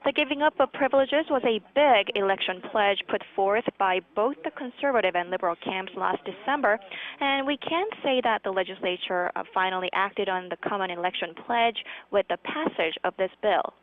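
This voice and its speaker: A woman's voice: medium pitch, normal speed. A woman political correspondent delivering a live news report over the telephone. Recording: tinny narrowband phone line.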